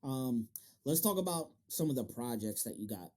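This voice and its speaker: A man's voice: mid-range voice, expressive, normal speed, darkish tone. A man hosting a casual interview, talking in loose slang and swearing freely. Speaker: podcast interviewer and manga reviewer.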